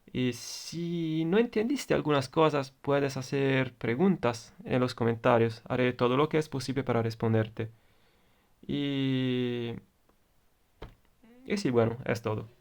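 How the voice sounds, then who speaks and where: A man with an Italian accent, a native Italian speaker, teaching an electronics lesson and often repeating and rephrasing his points. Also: mid-range voice. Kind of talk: explaining electronics on video.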